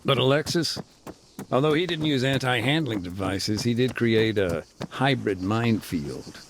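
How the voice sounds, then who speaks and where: A middle-aged man voicing a frank former combat engineer turned demining worker, measured and matter-of-fact in a game cutscene. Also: medium pitch, animated, noisy background. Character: weary male ex-soldier turned deminer, frank. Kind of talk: voiced game cutscene dialogue.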